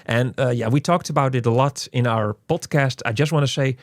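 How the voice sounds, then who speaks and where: Man, game review host, casual talk, halting and repetitive delivery, dry sound. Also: medium pitch, dry recording. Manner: halting, repeats words and phrases.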